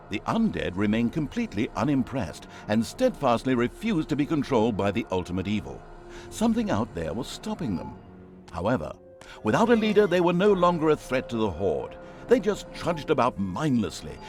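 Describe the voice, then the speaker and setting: A theatrical fantasy game narrator, wry and mock-grand. His mid-pitched voice rises and plunges very freely for comic drama, with music clearly behind it. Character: wry, theatrical male storybook narrator of evil's conquests.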